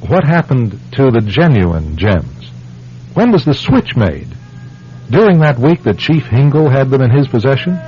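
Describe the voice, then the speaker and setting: A man's voice: medium pitch, very animated, measured pace, a little hiss or hum. A man narrating as the host of a classic radio drama, in a wry, rhetorical, scripted style. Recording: dull radio recording. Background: faint music.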